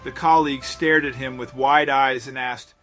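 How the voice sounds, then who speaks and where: A man narrating a scripted dramatic story in a measured, suspenseful way. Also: medium pitch, steady tone, fairly bright tone. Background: background music.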